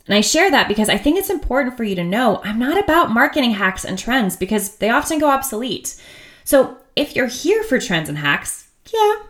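A woman in her thirties from the United States hosting her own podcast, talking in a chatty, upbeat and candid way with frequent laughs. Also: mid-range voice. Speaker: podcast host. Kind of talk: casual solo podcast monologue.

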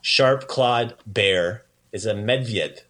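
A man's voice: fairly low pitch, extremely slow, fairly bright tone. A man hosting a podcast language lesson in a casual, playful and encouraging way. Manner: encouraging, praising the learner often.

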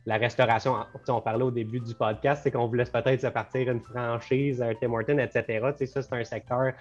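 A man's voice: mid-range voice, little pitch movement, a little fast. A young man with a Quebec French accent and a mid-range voice, talking loosely and at length as a podcast guest, with English words slipped in. The recording sounds a bit dull and lo-fi. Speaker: business co-owner and guest. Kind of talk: casual podcast conversation.

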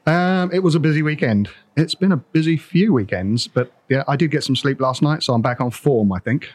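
A man's voice: a little high, lively intonation, a little fast, breathy edge, no room sound. A man co-hosting a podcast, chatting in an easygoing way with many ums. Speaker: podcast co-host. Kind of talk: casual chat. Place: warehouse with a bottling line.

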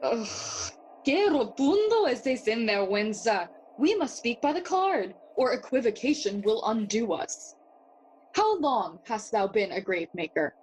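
A brooding, witty young noble in a Shakespeare audio play, speaking slowly in a fairly high voice. The delivery is musing and theatrical, over faint noise. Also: female voice, very animated, darkish tone, poor audio. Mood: inquisitive. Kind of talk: bilingual Spanish-English Shakespeare audio play acting.

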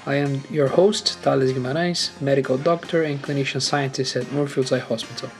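A man's voice: mid-range voice, steady tone, a little fast. A man reading a scripted welcome that introduces himself as the podcast host. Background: soft guitar music.

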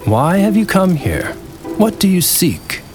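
A man's voice: high voice, wildly swooping pitch, measured pace, music in the background, voice pushed up, full character voice. A man voicing a wise, solemn old male spirit of a winter month in a fairy tale, stately and questioning. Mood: stern, questioning.

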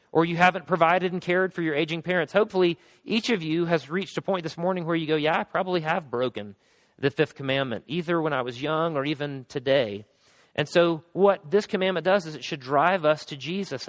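Earnest hoarse-voiced male pastor preaching. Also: on the high side, big-room sound. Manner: earnest, with frequent restarts and repeated words. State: voice going hoarse. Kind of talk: sermon.

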